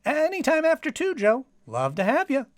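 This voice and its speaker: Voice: high-pitched, huge pitch swings, normal speed, no echo, clean studio sound. A performer playing a naive, earnest male police sidekick in a 1950s-style radio parody, rambling in a folksy, repetitive way. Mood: friendly, rambling.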